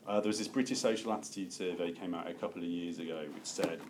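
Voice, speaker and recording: A man with a fairly low voice leads an academic seminar. He speaks haltingly, often correcting himself as he works through ideas. There is noticeable room noise behind him. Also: steady tone, a little mellow. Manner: halting, self-correcting, thinking aloud. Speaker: lecturer leading a seminar. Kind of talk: academic lecture with open discussion.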